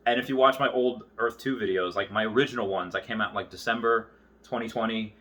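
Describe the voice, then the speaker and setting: A man in his early thirties delivers an unscripted, casual monologue. His voice sits a little low but has a bright, forceful edge. He rambles and stops midway through thoughts, then picks them up again. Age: early 30s. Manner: rambling, halting, circles back on thoughts. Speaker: YouTuber.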